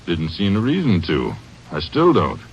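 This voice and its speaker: An actor playing a blunt, guarded farmer under questioning in an old-time radio crime drama. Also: male voice, low-pitched, wildly swooping pitch, normal speed. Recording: dull, noisy vintage radio recording.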